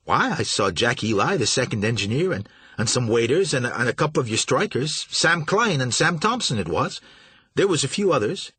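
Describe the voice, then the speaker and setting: A man's voice: mid-range voice, big pitch swings, normal speed, pushed and bright, slightly rough, no echo, full character voice. A man voicing a flustered, nervous young shipping agent who stammers and fawns. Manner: stammering, fawning.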